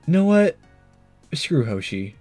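Animated man doing casual game commentary. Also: fairly high pitch, takes it slowly, faint music behind. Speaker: let's play host.

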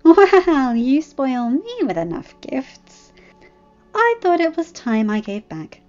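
Woman acting a playful, higher-voiced young noblewoman. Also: big pitch swings, slow pace, darkish tone, heavily acted. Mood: fond. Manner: fond, playful, assured. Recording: muffled lo-fi recording, music behind.

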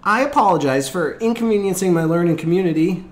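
A man's voice: sits high, expressive, fast, voice pushed up. A man voicing a clumsy schoolboy in a story, stammering breathless, hesitant apologies. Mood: sheepish, apologetic.

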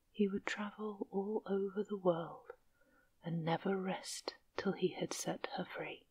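Woman storyteller, whispered bedtime-style reading, breathy and unhurried, low register. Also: poor audio. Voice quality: soft whisper. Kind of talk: whispered ASMR fairy tale reading.

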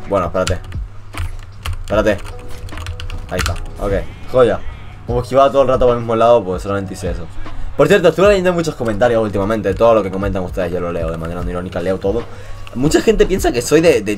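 Low-voiced young man ranting over gameplay. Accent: mixed Latin American and Spanish Spanish. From Venezuela. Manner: animated ranting, swears, jokey. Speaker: gaming YouTuber. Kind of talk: casual gameplay commentary.

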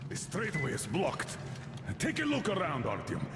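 An actor playing a gruff, terse older military commander in a video game, giving urgent orders. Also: male voice, on the high side, huge pitch swings, normal speed, over loud music. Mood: grim, wary. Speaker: squad commander. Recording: distant, echoey, loud noise behind.

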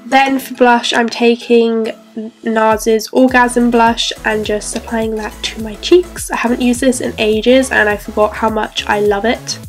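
A woman's voice: fairly high pitch, flat delivery, measured pace, faint music behind. A young woman chatting through a makeup tutorial, sounding a bit stuffy from a cold. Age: young adult. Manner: chatty, bubbly, lots of "so yeah". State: congested from a cold. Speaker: beauty vlogger. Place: bedroom with clothes rail.

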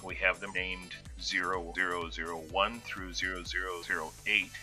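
A man reading a scripted product explainer in a formal, measured way. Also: deep voice, little pitch movement, pushed and bright, soft background music. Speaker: product presenter.

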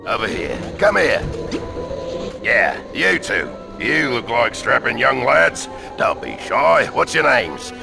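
A man's voice: mid-range voice, sing-song, takes it slowly, gravelly, over music, full character voice. An actor playing a jovial, boastful rugged outdoorsman in a video game, talking in a matey, colloquial way. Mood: wheedling, boastful. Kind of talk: animated video game acting.

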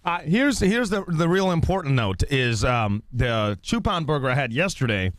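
Young male radio host, high-pitched and very animated, excitable, blunt banter, dry close studio sound. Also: normal speed, no room sound. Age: young adult. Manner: excitable, crude, emphatic. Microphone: studio microphone, close.

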